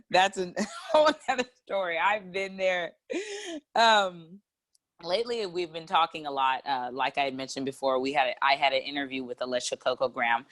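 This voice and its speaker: A woman's voice: deep voice, a bit bright. Woman hosting a casual interview. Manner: friendly, laughs often. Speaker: host of a frugal living channel.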